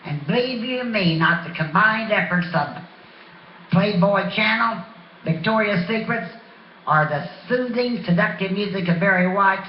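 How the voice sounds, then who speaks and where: A woman's voice: mid-range voice, reverberant, highs cut off, slight background noise. A woman over sixty performing brash, sassy stand-up comedy with punchy delivery, recorded off a television. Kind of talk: live stand-up comedy routine. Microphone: handheld stage microphone, re-recorded from TV speaker.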